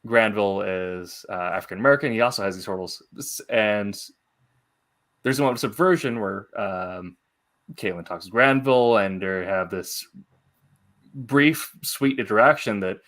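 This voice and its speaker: A man's voice: on the low side, normal speed, a bit bright. A man hosting an interview, commenting and asking questions in a conversational style. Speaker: interview host.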